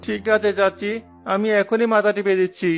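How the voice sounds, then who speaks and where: A man's voice: high-pitched, level tone, normal speed, creaky, soft background music. A man voicing a meek, overworked orphan village boy in a cartoon, speaking in a plaintive, obedient way. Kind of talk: Bengali cartoon voice acting.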